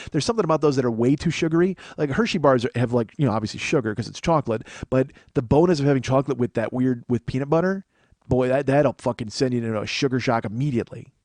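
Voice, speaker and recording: A man hosting a podcast, riffing in a profane, excitable comedic monologue into a studio microphone. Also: medium pitch, no echo. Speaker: podcast host.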